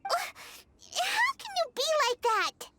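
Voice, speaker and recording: A woman voicing a small, excitable female floating companion creature who pleads and talks about herself in the third person. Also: very high-pitched, drawn-out words, full character voice. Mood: pleading. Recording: game audio with clear background noise.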